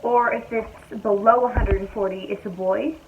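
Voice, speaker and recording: A young woman talking casually to her viewers from home, her voice slightly raspy and hoarse, chatty and easygoing as she thinks aloud. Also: medium pitch, fairly even intonation, moderate pace, dull audio, noisy background. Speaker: vlogger. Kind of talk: casual chat to camera. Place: at home, indoors.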